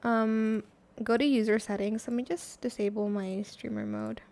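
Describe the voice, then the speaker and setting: Woman doing a screen tutorial, kinda high voice, informal, bit hesitant, thinking out loud. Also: steady tone, normal speed. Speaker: tutorial host. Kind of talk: casual screen-recorded software tutorial.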